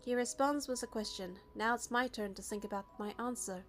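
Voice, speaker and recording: A woman narrates a game story in the first person, reading it aloud. Her voice is high, and faint music plays behind her. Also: brisk, fairly bright tone.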